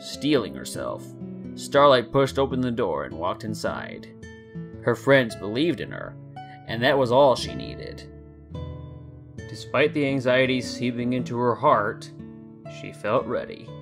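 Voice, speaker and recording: A man in his twenties narrates a story in a scripted, dramatic reading. His voice sits at an average male pitch, with instrumental guitar music playing behind it. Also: very animated, unhurried, gravelly. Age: 20s. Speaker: story narrator and channel host.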